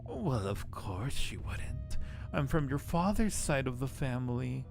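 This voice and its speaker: A man voicing a creepy, sweet old woman in a spooky game, with cooing, drawn-out words. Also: mid-range voice, sing-song, creaky, voice pushed up. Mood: insistent, sugary. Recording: music behind the voice.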